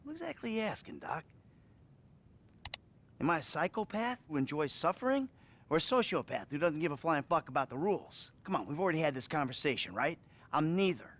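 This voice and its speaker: Washed-up middle-aged american crook from a game, kinda high voice, all over the place, self-loathing and defensive. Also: male voice, big pitch swings, brisk, fairly bright tone, a little hiss or hum. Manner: profane, rambling, agitated, sighing. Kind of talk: acted video game cutscene dialogue.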